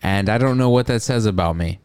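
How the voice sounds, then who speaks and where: A young man in casual podcast banter, joking at his own expense as he rambles on. His voice sits a little low and comes across smooth and clear. The sound is close and dry. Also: a little fast, darkish tone, no room sound. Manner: self-deprecating, rambling, joking. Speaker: podcast co-host. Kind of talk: casual comedic chat.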